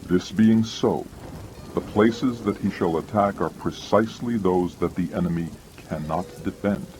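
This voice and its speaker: Low-pitched man, audiobook reading, declamatory, deliberate phrasing, rain in the background. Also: a little mellow, slight background noise, rough recording. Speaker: audiobook reader of commentary quotations. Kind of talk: dramatized scripted reading.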